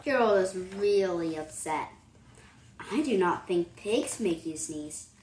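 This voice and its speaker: Female, animated picture-book reading, chatty, slow pace, echoey room with noise. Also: fairly low pitch. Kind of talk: children's picture book read-aloud with commentary.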